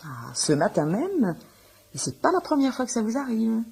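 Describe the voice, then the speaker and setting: An actress playing a firm, wry female doctor who lectures in a measured, dryly ironic way in a French radio comedy. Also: fairly high pitch, huge pitch swings, vocal fry, darkish tone, heavily acted. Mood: stern, lecturing.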